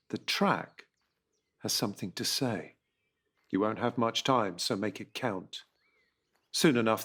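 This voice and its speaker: A man with a husky voice narrates a dramatic script in a measured, intense, literary manner. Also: fairly low pitch, a bit bright.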